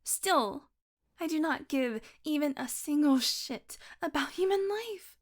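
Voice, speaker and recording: A woman acts out a female vampire with a distinctly high voice. She is irritable and hungry, swearing freely and needling with sarcastic pet names. The delivery is intimate one-sided audio roleplay dialogue. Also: expressive, a little slow. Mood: exasperated, angry. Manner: sardonic, foul-mouthed, teasing with pet names.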